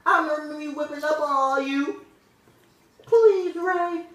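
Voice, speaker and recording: A woman's voice: high-pitched, a little slow. A woman playing a hot-tempered, sulky, boastful young woman in an amateur puppet show skit. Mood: taunting. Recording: roomy, echoey amateur indoor recording.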